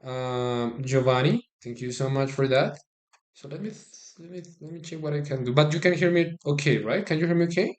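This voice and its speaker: Chatty Colombian man, chess commentary. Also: fairly high pitch. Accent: Colombian Spanish. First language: Spanish. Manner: chatty and halting, repeats words, thanks and addresses viewers. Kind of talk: casual live-stream chess commentary. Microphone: phone.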